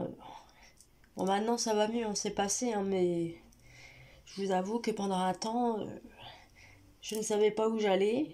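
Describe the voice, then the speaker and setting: A young woman talks straight to camera, her nose blocked up with a cold. She speaks at a middling pitch in a flat, unvaried line, stopping and restarting often as she finds her words. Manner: hesitant, many fillers and restarts, speaks straight to viewers. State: stuffy-nosed with a cold. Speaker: vlogger. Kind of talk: personal confessional vlog talk.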